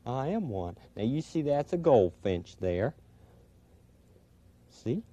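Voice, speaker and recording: A man's voice: medium pitch, sing-song, slow, warm tone. A man voicing a friendly male owl puppet who knows birds, eager and chatty with chuckles and "uh"s. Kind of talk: children's puppet show. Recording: dull old TV recording.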